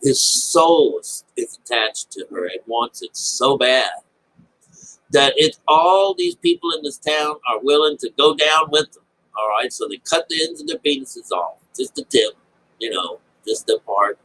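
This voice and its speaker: A man's voice: medium pitch, sing-song, a bit bright, low-quality recording. Rambling man giving informal Bible commentary. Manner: rambling, halting, repeats phrases; colloquial, folksy, mild swearing. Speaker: amateur Bible teacher.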